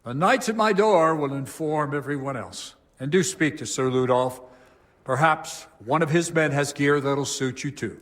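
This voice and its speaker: A man's voice: a little high, normal speed, a bit bright, close to an everyday voice. Devout medieval abbot played by a male actor, video game drama. Grave, formal, troubled. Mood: commanding. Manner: solemn, pious, formal.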